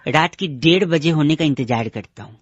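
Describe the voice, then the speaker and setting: A man's voice: a little high, moderate pace, breathy edge, dry recording, dull audio. A man hosting radio prank calls, bantering comically and building teasing riddles toward punchlines. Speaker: radio prank-call host.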